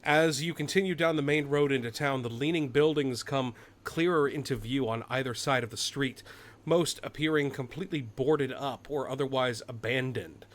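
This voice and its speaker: A man's voice: mid-range voice, fairly bright tone. A man running a tabletop fantasy horror game as game master, moving between casual table talk and atmospheric description.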